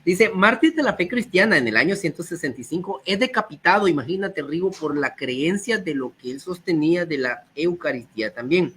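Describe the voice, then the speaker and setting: A man, a guest speaker, who is slightly hoarse from a cold and reads quotations aloud before explaining them. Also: fairly high pitch, lively intonation, brisk, few pauses, a bit bright, slight background noise. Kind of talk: teaching talk on early church texts.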